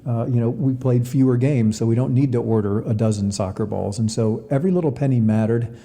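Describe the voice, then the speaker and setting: A man giving earnest, measured interview answers as an athletics director. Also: medium pitch, dark tone. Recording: long reverberant hall echo.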